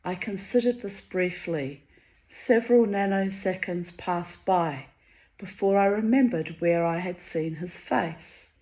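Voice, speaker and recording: A Hungarian-born woman reading her own diary entries aloud, wry and theatrical, her voice swinging high and low for effect. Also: measured pace. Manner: wry, theatrical, dramatic swings of pitch and loudness.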